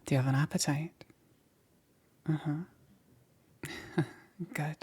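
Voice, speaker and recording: A woman playing a gentle witch caring for a stranger in a soothing ASMR roleplay, breathy and often dropping into a whisper. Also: sits low, fairly even intonation, exaggerated voice. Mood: gentle, attentive. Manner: unhurried, soothing, checking in with short murmurs. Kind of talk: soft-spoken ASMR roleplay.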